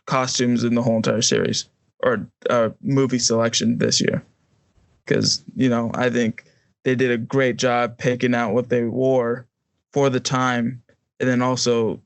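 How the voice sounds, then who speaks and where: A man's voice: medium pitch, dry recording. A man co-hosting a podcast, giving opinions in casual conversation. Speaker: podcast co-host.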